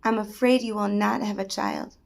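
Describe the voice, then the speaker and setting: Woman playing a holy old male sage, gentle, solemn, soft, slightly gravelly. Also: medium pitch, steady tone, moderate pace, slight background noise, close to an everyday voice.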